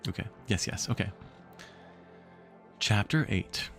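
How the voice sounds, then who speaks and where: A man reading a children's story aloud on a livestream in an expressive, theatrical way, with casual asides to the chat. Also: lowish voice, takes it slowly, creaky, soft background music.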